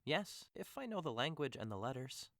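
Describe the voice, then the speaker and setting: A man's voice: mid-range voice, very animated, moderate pace, fairly bright tone, dry recording. Guy acting lovesick young noble, melodramatic radio play style, swoopy intonation, close dry sound. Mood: polite, curious. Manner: dramatic, emotive. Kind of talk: audio drama acting of modernized Shakespeare.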